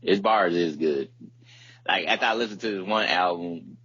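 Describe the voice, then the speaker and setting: A man's voice: deep voice, moderate pace, fairly bright tone, husky, dry recording, lo-fi sound. A man chatting casually as a podcast co-host, in slangy talk that often circles back to repeat itself. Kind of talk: casual chat about hip hop.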